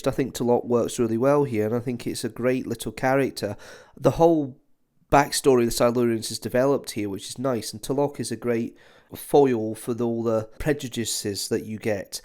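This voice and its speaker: A man's voice: mid-range voice, normal speed, darkish tone. A man reviewing in a conversational, opinionated way, as commentary for a video.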